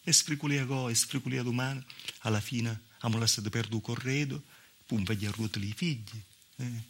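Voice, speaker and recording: A man's voice: medium pitch, a little fast, lightly acted. A man with a southern Italian accent playing a wry, plaintive village woman telling her story in a conversational theatrical monologue. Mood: weary, resigned. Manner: conversational, punctuated with rhetorical "eh". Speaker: stage actor. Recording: live theatre recording with noise.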